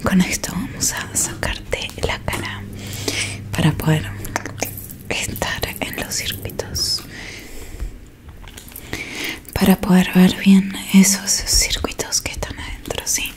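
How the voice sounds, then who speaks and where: Whispering woman, slow ASMR roleplay. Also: fairly low pitch, steady tone. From Argentina. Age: 20s. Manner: soft, slow, deliberate murmuring to one listener. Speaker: ASMR creator playing a robot repair technician. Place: small dim room indoors with curtains. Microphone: close microphone in front of her.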